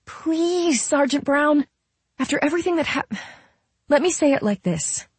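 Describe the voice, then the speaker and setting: Audiobook character, female reader: eager young woman, high-pitched, urgent, pleading, persistent. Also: expressive, a little slow, no room sound.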